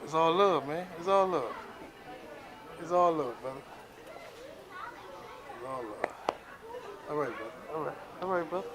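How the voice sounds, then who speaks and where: A man's voice: a little high, a little slow, pauses often, a crowd in the background. A man talking casually in street slang as a customer, repeating himself as he protests or placates. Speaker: customer being pranked.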